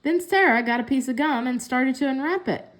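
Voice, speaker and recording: Female storyteller for a kids' read-aloud, higher-pitched, expressive delivery. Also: a little fast. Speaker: story reader.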